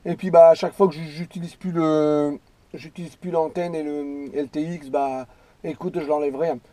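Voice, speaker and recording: A man's voice: on the high side, steady tone, normal speed, mellow. A man chatting casually as an amateur radio operator, rambling and repeating his phrases. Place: in or beside a parked car outdoors.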